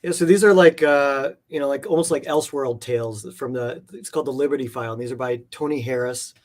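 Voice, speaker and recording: A man's voice: medium pitch, quick pace. A man talking casually as an interview guest, rambling enthusiastically through details of things he collects. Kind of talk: casual chat.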